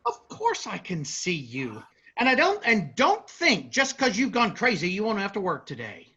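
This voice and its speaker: A woman acting in a staged play reading as an exasperated, pushy coworker with a raspy voice, on a lo-fi recording. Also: mid-range voice, huge pitch swings, normal speed, fairly bright tone, exaggerated voice. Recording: dull lo-fi sound.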